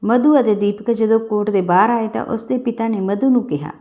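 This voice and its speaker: A woman's voice: a little high, brisk, dark tone. A woman reading a scripted story as an audiobook-style narrator.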